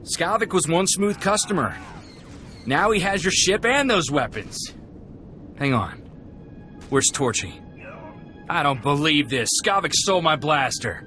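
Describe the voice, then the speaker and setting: An actor playing a folksy, earnest, hot-headed young gunslinger in a video game. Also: male voice, a little high, expressive, a little slow, long pauses, sharp tone, soft background music. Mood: indignant.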